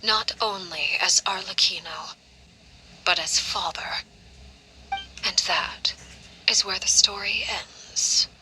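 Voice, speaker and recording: A woman playing a cold, composed head of an orphanage in a video game, speaking in a measured, formal, deliberate way. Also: medium pitch, pushed and bright, a little hiss or hum, poor audio. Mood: solemn, measured. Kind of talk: video game character dialogue.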